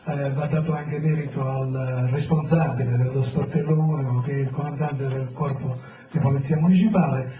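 A Sicilian man, a town mayor, giving a hesitant public speech full of fillers. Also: on the high side. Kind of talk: public speech at a press event. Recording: roomy, distant, dull, noisy.

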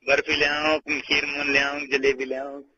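A man's voice: on the high side, completely flat delivery, moderate pace. A man with a Rajasthani accent, chatting casually and privately as a caller on the phone. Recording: dull lo-fi phone call, background noise.

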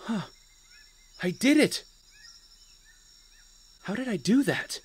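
A man's voice: high voice, animated, takes it slowly. An actor playing an earnest, self-doubting young man in training, conversational and a little hesitant, in a naturalistic audio drama. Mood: eager, surprised. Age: young adult. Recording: clear cricket and outdoor ambience noise.

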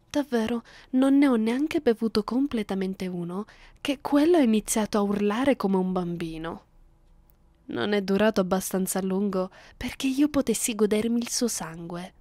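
A woman performs a centuries-old female vampire who toys coldly with a captive in an intimate ASMR roleplay. She speaks slowly and deliberately, with bored condescension, and often sinks into a whisper. The sound is close and very dry. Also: mid-range voice, darkish tone, no room sound, close to an everyday voice. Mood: bored disdain. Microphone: close microphone, dry sound.

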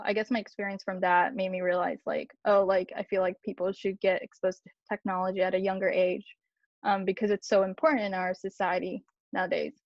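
A woman's voice: medium pitch, no room sound, muffled recording. A young woman who grew up in Japan and Taiwan, a college student answering questions casually on a video call, chatty and self-deprecating with many fillers. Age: young adult. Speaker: college student on alumni panel. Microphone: laptop mic on a video call.

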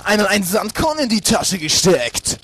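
A man playing an excitable, boastful, easily offended superhero captain in a parody audio drama, with shouty, whiny, drawn-out delivery. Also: high voice, husky, heavily acted. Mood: boastful, loud. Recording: faint background noise.

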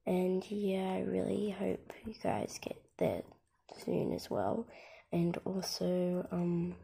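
A young girl with a croaky voice from a cold, softly rambling through a tour of her game with lots of "like" and "um". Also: fairly low pitch, slow. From Australia. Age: child. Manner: soft, half-whispered, rambling with many "like" and "um". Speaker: gaming channel host. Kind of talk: casual game tour commentary. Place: at home, filming a laptop screen. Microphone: phone camera.

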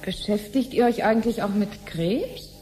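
A woman's voice: mid-range voice, normal speed, darkish tone, slight background noise, natural voice. An actress playing a curious, evasive woman in a 1970s radio play, casual and a little hesitant. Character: curious, evasive married woman probing her sister with questions.

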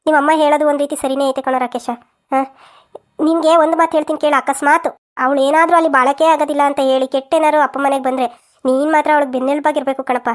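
A woman's voice: high voice, flat delivery, fast talker, lightly acted. A woman voicing a frank, protective older village aunt in a cartoon family drama, emphatic and colloquial, with a rural southern Karnataka accent. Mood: firm, advising.

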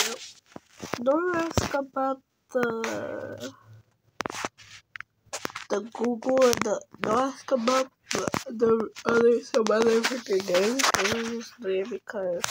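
Chatty young girl, noisy phone audio. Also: on the high side. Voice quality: rambling, often trails into a whisper. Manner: chatty, restarts often. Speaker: game video creator. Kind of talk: casual game commentary. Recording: noisy phone recording with clicks.

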